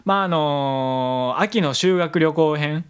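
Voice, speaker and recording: A man's voice: a little high, takes it slowly, smooth. A young man chatting casually and playfully earnest as an internet radio co-host. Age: young adult. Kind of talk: casual chat, bantering. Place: small home-style studio room. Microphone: desk microphone with headphones.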